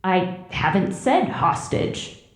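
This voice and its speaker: An actress playing a fierce, exasperated female station commander who scolds in a sharp, commanding way. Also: lowish voice, sing-song, slow, husky, no echo, faint music behind, exaggerated voice. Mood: angry.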